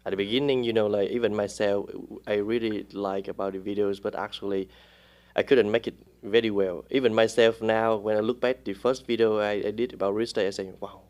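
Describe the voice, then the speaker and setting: A man talks in a relaxed interview with a somewhat low voice. He speaks haltingly, with frequent pauses and fillers while he hunts for the right words. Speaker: real estate agent, guest. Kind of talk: casual interview talk.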